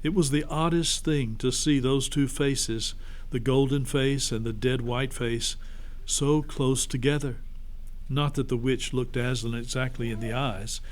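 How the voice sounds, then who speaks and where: A man's voice: mid-range voice, steady tone, a little slow, rough recording. A man reading a children's storybook aloud as a narrator.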